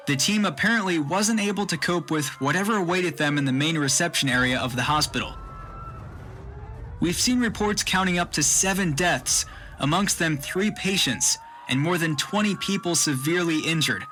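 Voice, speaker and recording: An urgent live news reporter announces formally in a bright, forceful, higher male voice. Faint noise and ambience surround him, like an on-scene broadcast in an audio drama.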